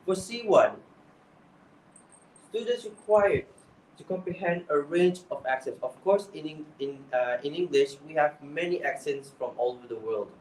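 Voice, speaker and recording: Young malaysian guy giving exam tips, a bit high voiced, roomy echo and far off mic. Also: lively intonation, normal speed, darkish tone, a little hiss or hum. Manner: earnest, repeats and restarts phrases. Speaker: English speaking coach. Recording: echoey room, voice from far off.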